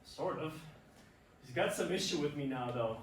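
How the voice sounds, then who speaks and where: A man's voice: a little high, lively intonation, moderate pace, close to an everyday voice. A man on stage playing a young rookie ballplayer, eager and naive but hesitant, answering earnestly in short lines. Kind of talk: staged reading of a play, theatrical dialogue. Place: indoor performance space, recorded from afar. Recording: roomy distant stage recording, background noise.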